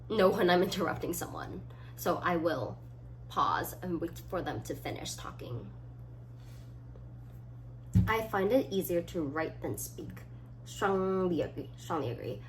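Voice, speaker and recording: A young woman around twenty with a fairly low voice that rises and falls freely. She talks casually and reflectively, as a vlogger alone with her thoughts, in short halting answers. There is some faint noise in the recording. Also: rough recording. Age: about 20. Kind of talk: casual solo chat, reading quiz items aloud and answering. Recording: clearly audible background noise.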